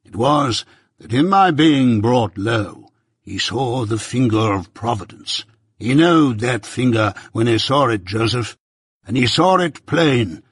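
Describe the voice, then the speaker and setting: A man voicing a pompous, self-righteous older merchant who lectures slowly and loudly in a raised, husky voice. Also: medium pitch, expressive, no echo, studio quality. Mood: lecturing. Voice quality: pompous, preaching, drawn-out emphasis.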